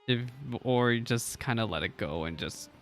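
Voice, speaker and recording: A man's voice: mid-range voice, animated, normal speed, faint music behind. A man doing casual live reaction commentary as a game streamer, chatty, exclamatory and self-deprecating.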